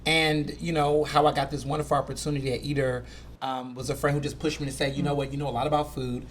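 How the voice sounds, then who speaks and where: A man in his thirties from Houston with a light Southern accent, chatting as a guest about his work as a food editor, animated and full of "you know". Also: mid-range voice, fast, slight background noise. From Houston, Texas. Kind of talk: casual conversation.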